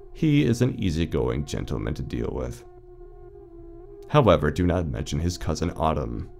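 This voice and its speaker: A man playing an eerily calm, reassuring announcer in a surreal audio drama, measured, soothing and deadpan. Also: rumbling low, vocal fry, slight background noise, full character voice.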